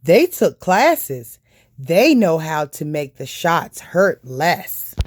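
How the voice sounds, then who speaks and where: A woman's voice: sits low, big pitch swings, takes it slowly, heavily acted. A woman voicing a kindly, reassuring male children's doctor in a storybook, patient and encouraging as he explains things. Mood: patient, explanatory.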